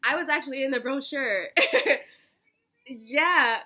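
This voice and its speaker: Bright, bubbly young American woman. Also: high voice, a little slow. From Miami, Florida, United States. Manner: bubbly, effusive, giggly, chatty. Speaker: job interview candidate, college student. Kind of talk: casual phone interview answers.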